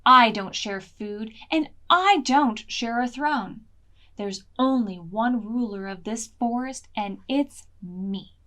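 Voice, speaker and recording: Woman voicing a loud, greedy, bullying goblin king. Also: on the high side, slow. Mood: imperious. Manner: loud, imperious, domineering. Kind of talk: storybook character voice.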